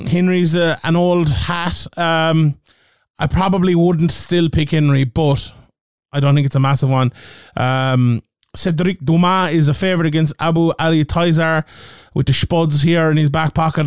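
A man's voice: on the high side, smooth, no room sound, studio quality. An Irish man hosting a casual betting show, thinking aloud and checking the odds as he talks. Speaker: host. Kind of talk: casual betting picks talk.

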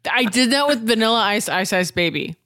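A woman's voice: a little high, expressive, brisk, background noise. A woman co-hosting a casual podcast, telling long rambling anecdotes. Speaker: co-host.

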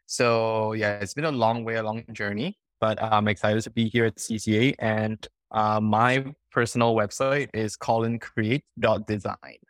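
A young man from Singapore chats eagerly about his design studies, with plenty of fillers. His voice sits a little low. The recording is dry and close. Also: fairly even intonation, measured pace, no echo. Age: young adult. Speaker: design student guest. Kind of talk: casual podcast chat.

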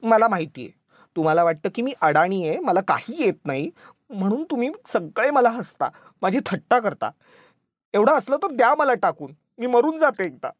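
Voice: mid-range voice, animated, a little fast, a little mellow, no room sound, natural voice. A narrator voicing a touchy, dramatic young village wife who talks in an emotional, scolding, plaintive way. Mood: tearful outburst.

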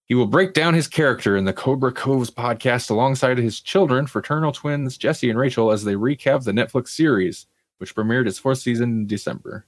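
A man's voice: mid-range voice, brisk, no echo. A man hosting a podcast, casually chatting through entertainment news and sometimes reading aloud, with wry, self-deprecating humor. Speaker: podcast host.

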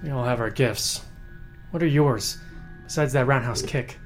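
Audio drama casting: male actor as shrewd psychic questioner, wry, probing, medium pitch, faint score behind. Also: measured pace, soft background music, natural voice.

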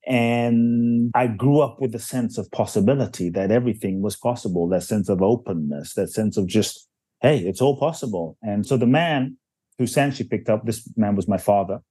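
Deep husky-voiced man, earnest storyteller. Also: normal speed, mellow, dry recording. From Ghana, raised in London. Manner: earnest and reflective, builds stories with repetition. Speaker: guest, spiritual teacher and author. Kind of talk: personal storytelling in a podcast interview.

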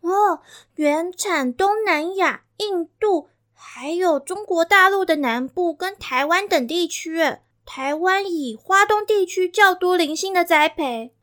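A woman's voice: very high-pitched, expressive, takes it slowly, a bit bright, full character voice. A woman playing an eager, proud fourth-grade girl in an amateur radio drama, chatty and childlike. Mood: careful reading aloud.

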